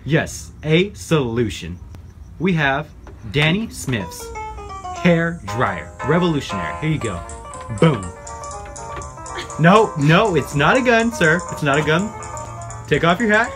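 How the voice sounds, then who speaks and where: Man acting a brash radio ad pitchman, loud, badgering, excitable, raised pitch, music behind. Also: takes it slowly, pauses often. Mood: pushy, excitable. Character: brash, pushy, insulting 1920s product pitchman. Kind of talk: comic radio advertisement.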